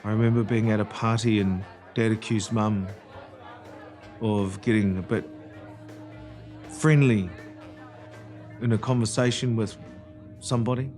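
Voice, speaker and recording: Low-pitched New man, candid documentary recollection, music clearly underneath. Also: steady tone, a little slow, pauses often, a little mellow, some chatter behind. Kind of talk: personal documentary interview. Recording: music playing clearly behind the voice.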